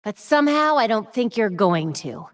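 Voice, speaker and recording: A woman's voice: medium pitch, big pitch swings, normal speed, no echo, studio quality, natural voice. A woman playing a young masked vigilante in a video game, tech-savvy and determined, with a wry, quippy delivery. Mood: focused, wry.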